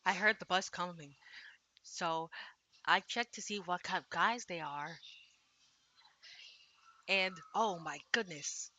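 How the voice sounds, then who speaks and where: Bright-voiced, lowish woman streaming a game. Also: slow pace, pauses often, slight background noise. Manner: casual, slangy asides. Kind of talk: live visual novel playthrough, reading translated dialogue aloud.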